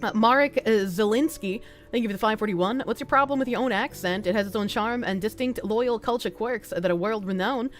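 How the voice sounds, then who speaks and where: A woman's voice: a little high, a little fast, faint music behind. A woman with a mild Boston accent, chatting casually on a livestream, reading donations and answering chat in a rambling, frank, profane way with frequent restarts.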